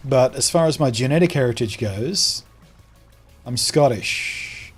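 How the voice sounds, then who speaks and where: An Australian man talks loosely while playing a game on stream, reading out viewer comments and answering them. His voice sits in a middle register with a husky edge. A faint bed of music runs quietly underneath. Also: mid-range voice, animated, measured pace. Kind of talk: casual live-stream chat while playing.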